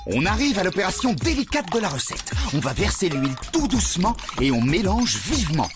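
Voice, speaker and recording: A kindly adult cook in a children's cartoon lesson. Her pitch is a little low and swoops expressively as she patiently explains and encourages. Music plays prominently underneath. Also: big pitch swings, fairly quick. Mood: instructive. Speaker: cooking guide. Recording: music behind the voice.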